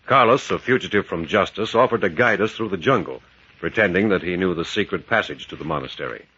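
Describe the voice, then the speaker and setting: An actor playing a bold, intense adventurer captain, narrating and acting dramatically in 1940s radio style. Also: male voice, sits low, lively intonation, quick pace, sharp tone, breathy edge, full character voice. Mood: grave. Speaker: hero and narrator. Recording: dull, noisy vintage radio recording.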